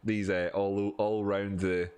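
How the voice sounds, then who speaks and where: A man with a broad Edinburgh Scottish accent and a somewhat low voice talks off the cuff to his stream audience. He rambles, restarts sentences and fills pauses with ums, moving between chatty asides and walking through charts. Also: level tone, darkish tone, clear, resonant tone. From Scotland. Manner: rambling, many fillers and restarts, answering chat. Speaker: crypto streamer. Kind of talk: casual live-stream chat and chart teaching.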